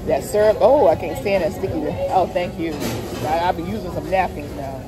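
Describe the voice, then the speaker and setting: A woman's voice: fairly low pitch, brisk, loud music behind the voice. A woman, chatting to her viewers about her meal over a phone camera in a diner. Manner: chatty, addresses viewers as y'all. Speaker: food vlogger. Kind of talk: casual chat to viewers. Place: busy diner, indoors. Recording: loud diner crowd behind.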